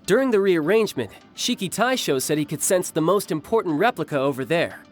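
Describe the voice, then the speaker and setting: A man's voice: on the high side, lively intonation, brisk, soft background music, natural voice. A man voicing a confident, easygoing young warrior in an anime game, breezy and casual. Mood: brisk, confident. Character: cocky, easygoing young male warrior who enjoys battle.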